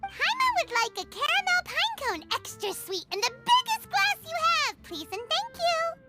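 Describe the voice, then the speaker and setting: A tiny, excitable fairy girl from a video game. Her voice is squeaky and extremely high, with big melodic swings and drawn-out delivery. She is perky and greedy, over clear background music. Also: heavily acted. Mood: eager. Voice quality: squeaky, childlike. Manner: refers to self in third person, perky. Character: small childlike female fairy companion, excitable and greedy. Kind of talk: video game character acting.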